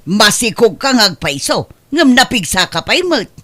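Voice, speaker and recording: A woman playing a meddling, self-righteous senior woman in a comedic drama, nagging with drawn-out exclamations. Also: mid-range voice, big pitch swings, pushed and bright. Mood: scolding. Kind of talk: comedic radio-style drama acting.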